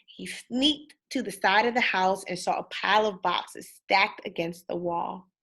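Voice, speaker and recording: A woman's voice: mid-range voice, fairly bright tone. A woman reading a storybook aloud for children in a dramatic manner, speaking directly to her listeners. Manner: dramatic, pausing to ask listeners questions. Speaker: storybook reader. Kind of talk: animated children's read-aloud.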